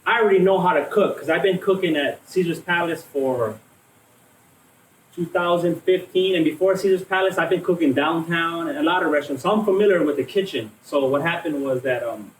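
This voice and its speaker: A man's voice: a little high, fairly quick, a little hiss or hum. A man in his thirties from Hawaii, raised in Las Vegas, telling his story casually with many fillers and restarts. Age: 30s. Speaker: vegan cook and guest. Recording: echoey room from a distant mic.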